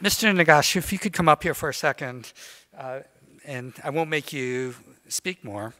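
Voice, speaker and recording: An older man presenting an award at a college ceremony, speaking formally with gentle teasing. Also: a little high, moderate pace, some chatter behind, a little hiss or hum. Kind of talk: ceremonial remarks. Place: large college concert hall. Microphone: podium mic.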